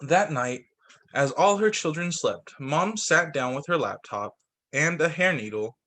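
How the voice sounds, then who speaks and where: A man reading a children's picture book aloud like a teacher at story time, expressive, with the odd stumble. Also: on the high side, a little slow. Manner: expressive, voices the dialogue with slight shifts; stumbles and corrects himself while reading.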